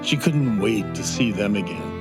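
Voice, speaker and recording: A man narrating a children's fantasy audio drama in a gentle, unhurried storytelling manner. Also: on the low side, expressive. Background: soft instrumental music.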